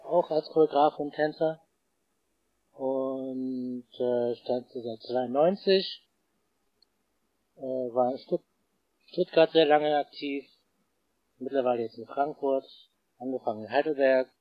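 Male guest dancer, very slow and halting, dry and dull remote sound. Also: mid-range voice, dry recording. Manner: halting, brief phrases. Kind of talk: casual interview talk.

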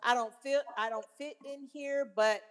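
Hesitant soft-spoken woman in her fifties. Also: high-pitched, steady tone, normal speed, long reverb tail, crowd noise. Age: over 50. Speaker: guest. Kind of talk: casual chat.

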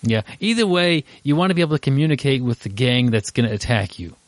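A relaxed male podcast host who chats loosely and restarts his sentences as he goes. His voice sits at a mid pitch, and the recording is close and dry. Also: fast, dry recording. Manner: rambling, lots of fillers and restarts, laughs easily. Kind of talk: casual podcast chat.

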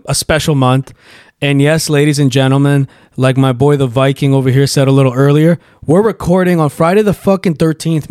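A man's voice: fairly high pitch, a little mellow, clear, resonant tone, dry recording, studio quality. A man from Canada hosting a podcast in casual chat, rambling and profane, with lots of fillers. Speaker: podcast host.

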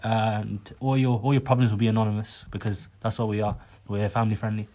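A man's voice: fairly low pitch, level tone, fast, mellow. A young man hosting a casual podcast with friends, rambling and repeating himself as he talks. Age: young adult. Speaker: podcast host. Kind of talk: casual chat among friends.

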